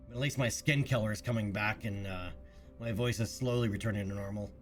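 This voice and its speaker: A man's voice: on the low side, steady tone, brisk, soft background music. A man streaming a game, thinking aloud in casual chat while congested from a recent cold. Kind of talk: casual gameplay commentary.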